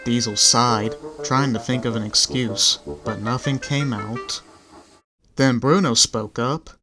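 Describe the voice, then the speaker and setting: A male narrator reads a children's story from a script. His voice sits in the middle range, with quiet music underneath. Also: mid-range voice, fairly even intonation, slow pace.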